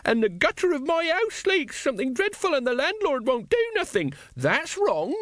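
A man's voice: very high-pitched, huge pitch swings, moderate pace, a bit bright, dry recording, clean studio sound, voice pushed up, full character voice. A man voicing an aggrieved, complaining old woman in a storybook reading.

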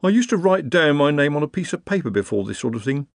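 A fretful, weary veteran wizard, acted by a man, fussing and coaxing with a lively, swooping pitch. The recording is close and dry. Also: fast talker, creaky, no echo.

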